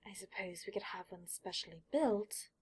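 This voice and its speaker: A woman's voice: medium pitch, normal speed, husky. A woman voicing a mild, uncomprehending upper-class mother with a dismissive manner in an audiobook story. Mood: tired.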